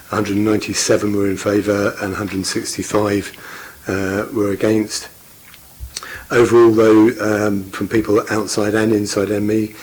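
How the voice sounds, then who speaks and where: A man presenting a council report in a formal, measured way with frequent fillers. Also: fairly low pitch, darkish tone, breathy edge, poor audio. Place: council meeting room.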